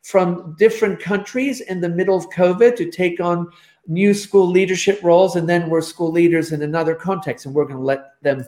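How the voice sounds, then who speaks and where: A man's voice: high-pitched, fairly even intonation, moderate pace, a little mellow, reverberant. A man hosting a podcast interview, welcoming guests and guiding the questions. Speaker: podcast host.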